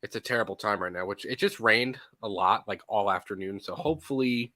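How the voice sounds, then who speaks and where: A man co-hosting a casual movie podcast, a little stuffy from allergies, animated and quick to laugh, often restarting his sentences. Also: fairly low pitch. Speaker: podcast co-host. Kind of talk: casual chat.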